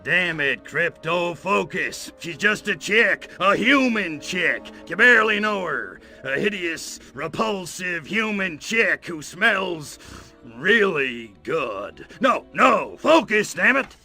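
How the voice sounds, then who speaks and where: An actor playing a brash, lecherous alien invader in a video game, with a cocky, sarcastic, slangy delivery. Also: male voice, high voice, very animated, slow, pushed and bright, faint music behind, exaggerated voice. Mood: agitated. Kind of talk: video game cutscene acting.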